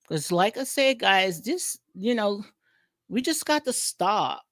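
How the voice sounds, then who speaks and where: A woman's voice: medium pitch, lively intonation, takes it slowly, no room sound, studio quality. Rambling Southern woman streaming commentary. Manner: rambling, repetitive, frequent fillers and restarts. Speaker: commentary vlogger. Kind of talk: casual reality-TV commentary livestream.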